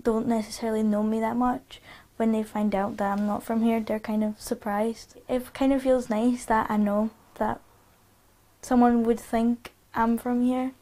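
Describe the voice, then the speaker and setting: A woman's voice: on the high side, flat delivery, normal speed, warm tone. A thirteen-year-old girl answering interview questions in a hesitant, shy way. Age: teens.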